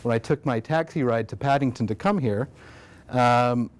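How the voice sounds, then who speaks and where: An American man delivering an academic talk at a conference. His delivery is relaxed and unpolished, full of fillers and self-corrections. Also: mid-range voice, normal speed. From United States. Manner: relaxed, many fillers and restarts. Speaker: conference presenter. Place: conference room.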